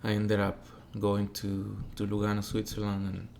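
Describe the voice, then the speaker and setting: A man's voice: fairly low pitch, completely flat delivery, moderate pace, creaky, a little mellow, slight background noise. A man with a Mexican Spanish accent, a native Spanish speaker and opera tenor, talking reflectively as a guest in a casual interview. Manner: reflective, acts out quoted voices.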